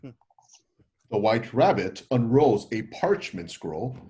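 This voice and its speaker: Lively male story narrator. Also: mid-range voice, takes it slowly. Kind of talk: scripted story narration, stage directions.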